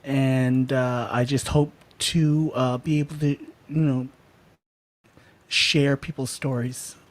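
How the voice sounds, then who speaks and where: A man with a mid-pitched voice hosts a casual livestream podcast. He speaks haltingly and informally, often searching for the next word. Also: little pitch movement. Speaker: podcast host.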